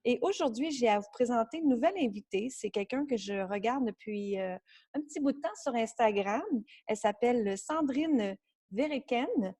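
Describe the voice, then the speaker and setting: A woman's voice: on the high side, lively intonation, moderate pace, mellow. A woman with a Quebec French accent hosting a podcast and chatting with a guest in a conversational interview style. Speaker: podcast host, coach.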